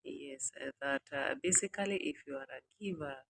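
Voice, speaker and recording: A woman's voice: sits low, brisk, vocal fry, fairly bright tone, slight background noise. A woman in her thirties vlogging outside a small villa, reflecting aloud in a hesitant, rambling way, with many "uh" fillers and repeated phrases. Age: 30s. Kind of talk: reflective casual monologue.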